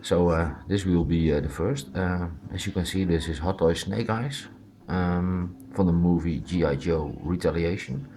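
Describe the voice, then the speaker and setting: Slow, deep-voiced Dutch man. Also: a little mellow, slight background noise. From Netherlands. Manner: hesitant, halting, informal. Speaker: amateur collectible toy reviewer. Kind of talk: casual unscripted hands-on review.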